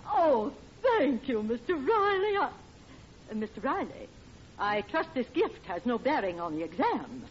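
An actress playing a prim, stern but easily flattered schoolteacher in 1940s radio comedy, with formal, precise diction. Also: female voice, measured pace. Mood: flattered, coy. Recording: dull, noisy old radio recording with rumble.

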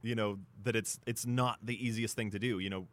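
A low-voiced American man co-hosts a sports radio show. He talks in a casual, hesitant way, circling through his thoughts with frequent "you know" asides. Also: fairly even intonation, brisk, fairly bright tone. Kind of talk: casual chat.

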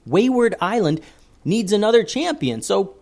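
A man's voice: high voice, very animated, moderate pace, creaky, a little mellow, voice pushed up, full character voice. A man reading a storybook aloud and voicing an eager young boy who blurts and stammers. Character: brave excitable young boy.

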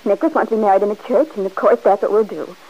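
A woman's voice: medium pitch, rapid-fire, dark tone, lightly acted. An actress playing a headstrong young woman about to marry, insistent and defensive, in a 1940s radio drama. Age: young adult. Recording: dull old radio recording.

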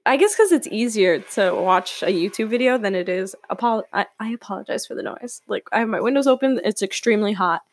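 A woman's voice: medium pitch, expressive, a little fast, hardly any pauses, no echo. A young woman from California hosting her own podcast, chatting casually and rambling with many fillers and frequent laughs, recorded at home. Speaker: podcast host. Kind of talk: casual solo podcast chat. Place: room at home with windows open.

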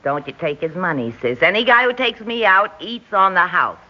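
A woman's voice: fairly low pitch, lively intonation, moderate pace. An actress playing a sardonic, man-hungry divorced diner woman in a 1960s radio drama, with slangy, blunt American delivery. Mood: brash, flirty. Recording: dull vintage radio recording.

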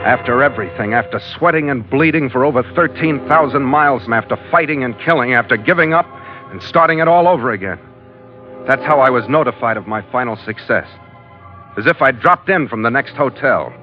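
A man's voice: medium pitch, a little fast, faint music behind, natural voice. Hard-boiled sea captain, played by a man, muffled old radio. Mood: tense, weary. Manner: terse, hard-boiled narration. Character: weary, tough male sea captain narrating his log. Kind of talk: 1940s radio acting.

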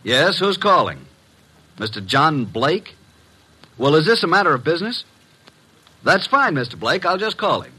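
A wisecracking assistant to a detective in vintage radio drama. The voice is husky and forceful, with very lively swings of pitch. He talks glibly, with a wry edge. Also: moderate pace, pauses often, heavily acted, low-quality recording. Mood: eager, glib. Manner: glib, wry, animated. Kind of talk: 1950s radio drama acting and narration. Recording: vintage 1950s radio recording.

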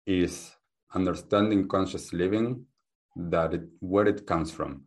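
A man with a very low voice and a mixed accent rooted in Argentine Spanish talks as a podcast guest. He speaks softly and in a measured way, thinking out loud. His manner is calm and reflective. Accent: mixed, hard to place, Argentine-Spanish base. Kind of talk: calm interview talk.

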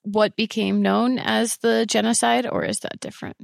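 Gravelly interviewer asking measured questions. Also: medium pitch, little pitch movement, no echo, clean studio sound.

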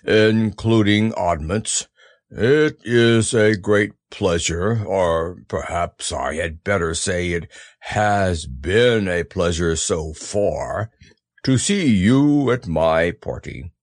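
A man doing a gloomy, slow old donkey. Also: low-pitched, dry recording, clean studio sound. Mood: pompous. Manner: slow, mournful, sighing drawl. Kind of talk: storybook read-aloud.

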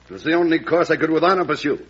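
An actor playing a proud, bitter disgraced politician in a radio drama, with a husky voice, formal intense delivery. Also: male voice, a little high, animated, very fast. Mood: defensive. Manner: formal, intense, self-justifying. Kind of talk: old-time American radio drama acting. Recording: dull vintage radio recording.

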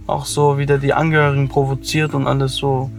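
A man's voice: mid-range voice, flat delivery, a little fast, soft background music. A young man from an Afghan family speaking bluntly and emotionally in a casual conversation while riding in a car. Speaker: interviewee. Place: in a car at night.

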